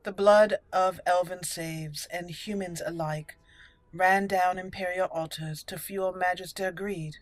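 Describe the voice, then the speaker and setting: Woman doing fantasy game roleplay narration, deliberate storyteller delivery, soft music underneath. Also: on the low side, steady tone, no echo. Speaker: gaming channel host. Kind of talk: roleplay game commentary and dramatic lore reading. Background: faint game music.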